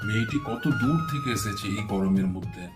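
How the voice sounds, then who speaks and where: A man plays a kindly, fussy landlord in a cartoon folk tale. His mid-pitched voice swoops theatrically from word to word, over clear background music. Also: wildly swooping pitch, a little fast. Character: generous, fussy village landlord, grown son.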